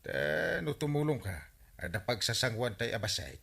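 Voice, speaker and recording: An excitable, bossy village buddy in a comic radio play. His voice swings widely in pitch and has a bright, forceful edge, with an Ilocano accent and needling exclamations. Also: normal speed, creaky, husky, full character voice. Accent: Filipino, Ilocano. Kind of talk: comedic radio drama acting.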